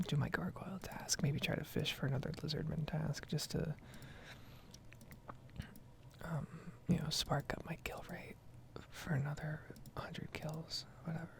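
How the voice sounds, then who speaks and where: Female ASMR gamer, breathy whisper with very heavy fry, casual and rambling, close-miked. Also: low voice, fairly even intonation, unhurried. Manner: casual, rambling, mild swearing. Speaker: ASMR gaming streamer. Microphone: close microphone.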